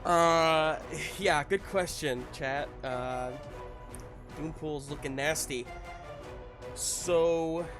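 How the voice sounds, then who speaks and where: A man's voice: a little high, very slow, over music. A man speaking as game master, narrating a live tabletop game casually in a hesitant, improvised way.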